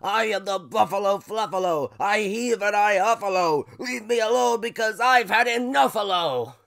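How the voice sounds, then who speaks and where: A storyteller voicing a small, grumpy male buffalo from a picture book, huffy and defensive with gruff bluster. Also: medium pitch, normal speed, voice pushed up. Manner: loud, gruff, stomping bluster.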